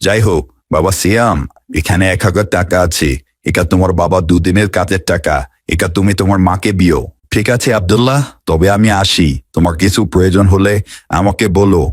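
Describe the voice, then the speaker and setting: A man playing a kindly village imam in a moral cartoon, speaking in a polite, measured, caring way. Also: sits low, dry recording, clean studio sound. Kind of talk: Islamic moral cartoon dialogue.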